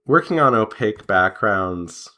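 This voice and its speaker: A man chatting casually as he draws, rambling in an unhurried way and pausing mid-sentence. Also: fairly low pitch, animated, sharp tone. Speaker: artist and devlog host. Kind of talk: casual chat while drawing.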